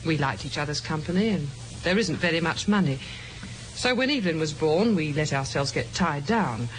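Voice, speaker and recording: An actress playing a worried, loyal, self-effacing housewife in an old radio drama, wistful and halting. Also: female voice, sits low, animated. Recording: noisy old radio recording.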